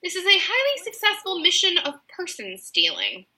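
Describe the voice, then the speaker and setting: A woman's voice: very high voice, wildly swooping pitch, normal speed, sharp tone, pitched above the performer's own voice, exaggerated voice, rough recording. A woman voicing a bossy, overconfident, dim-witted female kidnapper from a children's story, pompous about her nonsense. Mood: smug. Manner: pompous, matter-of-fact nonsense.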